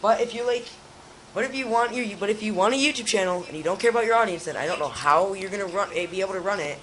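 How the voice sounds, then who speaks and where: Young male co-host, hoarse and raspy, rambling, faint noise behind. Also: high voice, fast talker, fairly bright tone, poor audio. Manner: rambling, restarts often. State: hoarse from a cold. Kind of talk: casual chat.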